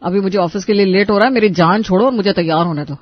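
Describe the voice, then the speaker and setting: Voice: medium pitch, fast, no room sound, highs cut off, lightly acted. A performer voicing a henpecked husband in a family cartoon, reasoning and placating. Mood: reproachful, resigned. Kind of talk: Hindi family cartoon voice acting.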